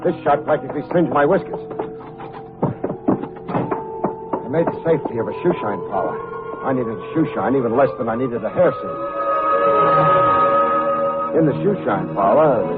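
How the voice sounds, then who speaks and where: An actor playing a coolly unflappable, wisecracking private investigator in an old radio detective drama, with dry, clipped noir phrasing. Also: male voice, medium pitch, animated, brisk, loud music behind the voice, lightly acted. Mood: wry narrating. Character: wry, hard-boiled male private investigator, coolly unflappable. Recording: muffled phone-like vintage radio recording.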